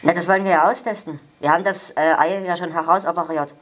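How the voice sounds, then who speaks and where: A man voicing a polite, eccentric elderly prank caller in a stilted, formal, deadpan manner. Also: a little high, fast talker, background noise, lightly acted. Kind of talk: improvised prank phone call. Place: small home kitchen, indoors.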